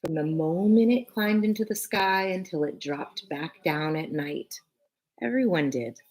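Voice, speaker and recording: Low, husky woman reading to children. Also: animated, darkish tone, low-quality recording. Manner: addresses young listeners, pausing between short phrases. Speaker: teacher reading a picture book aloud. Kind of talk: expressive children's read-aloud with casual asides.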